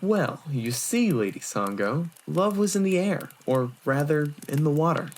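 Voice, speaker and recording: A man's voice: on the high side, lively intonation, unhurried. Man acting as Miroku, a theatrical flirtatious storyteller. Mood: suggestive, teasing. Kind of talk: fan audio drama acting.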